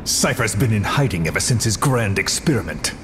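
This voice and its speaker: An actor playing a cold, philosophical video game villain who interrogates with slow, deliberate menace. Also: male voice, fairly low pitch, lively intonation, noisy background, lightly acted. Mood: coldly musing.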